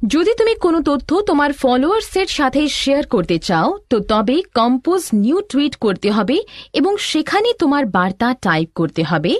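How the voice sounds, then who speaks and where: A woman plays a patient elder sister teaching in an educational cartoon. Her voice is high and swings melodically. The narration is scripted and didactic, in a dry recording. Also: no room sound. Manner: didactic, step-by-step.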